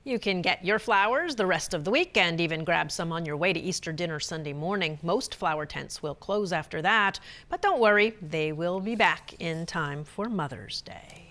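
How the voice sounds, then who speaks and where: A woman's voice: fairly low pitch, animated, normal speed, few pauses, fairly bright tone. A woman reporter reading scripted narration for local news stories.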